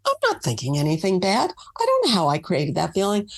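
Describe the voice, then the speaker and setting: A low woman's voice with a gravelly texture speaks as a guest author on a casual interview. She lays out her ideas earnestly and step by step, pausing now and then on fillers. She grew up on Long Island. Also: huge pitch swings. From Long Island, New York.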